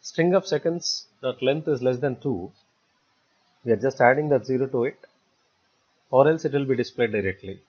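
Male tutorial instructor, husky voice, mid pitch, halting delivery, step-by-step narration, dull muffled recording. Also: a little mellow. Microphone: voice-over recorded for screen capture.

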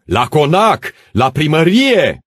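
Storybook role: demanding peasant rebels voiced by one male reader, furious, chanted shouts pitched far up. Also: sing-song, breathy edge, no echo, clean studio sound. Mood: roaring anger. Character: angry rebellious peasant mob, demanding, yelling.

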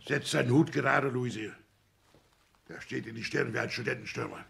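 An actor playing a blustering, grumbling middle-aged father, a self-righteous petty official, husky, in a 1960s German radio comedy. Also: mid-range voice, fairly even intonation, normal speed, fairly bright tone, heavily acted. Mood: curious, bossy. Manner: blustering, indignant.

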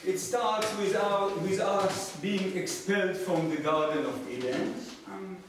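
A man in his sixties with an Israeli accent, a native Hebrew speaker, giving a public lecture as a professor with wry, deadpan asides. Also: high voice, unhurried, distant mic. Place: small lecture room with seated audience, indoors. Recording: echoey room from afar, noisy background.

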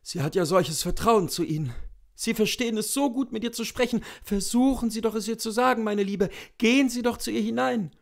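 A man voicing an agitated upper-class husband, entreating politely in a hurried way. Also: high voice, vocal fry, pitched above the performer's own voice. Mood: pleading.

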